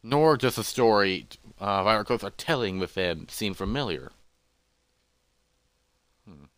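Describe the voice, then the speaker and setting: A man's voice: fairly low pitch, animated, unhurried, a bit bright, no room sound. A man voicing a massive, curious explorer creature in a fantasy roleplay, hesitant and thoughtful as he talks to himself. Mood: pondering. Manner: musing, hesitant, talking to himself.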